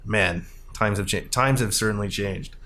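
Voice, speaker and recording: A man's voice: fairly low pitch, moderate pace, sharp tone, a little hiss or hum. A man hosting a podcast in a casual, conversational style. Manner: chuckles often while talking. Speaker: podcast host, arcade company staff.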